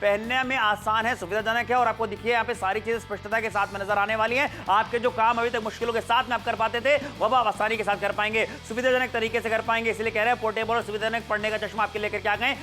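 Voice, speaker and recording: A man's voice: sits high, level tone, quick pace, hardly any pauses, bright, forceful tone, soft background music. A man presenting a product on shopping TV, insistent and repeating his selling points. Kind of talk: home-shopping pitch.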